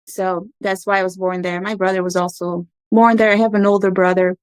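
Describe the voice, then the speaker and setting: Smooth-voiced woman telling her story. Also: mid-range voice, little pitch movement, a little fast, no room sound. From Portugal, raised in Angola and Macau. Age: 30s. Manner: reflective, earnest, with frequent ums. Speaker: podcast guest. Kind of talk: personal storytelling interview.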